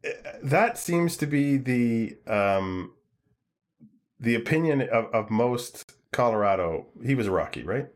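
A man's voice: mid-range voice, expressive, a little slow. A man hosting a podcast in casual chat, laughing often and restarting his phrases. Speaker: podcast host.

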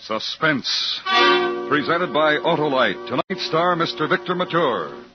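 A man's voice: on the high side, animated, a little slow. An American man announcing a radio show in a dramatic 1950s style. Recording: muffled 1950s radio recording, loud music.

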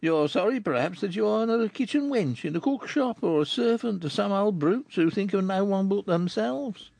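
A man voicing a rough male street tough in an audiobook, ironic and teasing. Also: sits high, very animated, moderate pace, dry recording, pitched above the performer's own voice.

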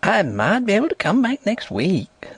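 A man's voice: sits high, wildly swooping pitch, normal speed, slightly rough, no room sound, voice pushed up, heavily acted. A man voicing an old, placid, superstitious rural woman servant who speaks in rustic dialect and gives solemn warnings. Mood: evasive. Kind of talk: audiobook character voice.

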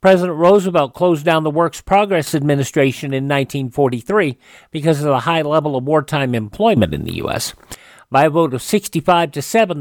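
A man's voice: fairly high pitch, no room sound. Dry, close-sounding American male radio host. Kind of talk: semi-scripted presenting of old radio and history facts.

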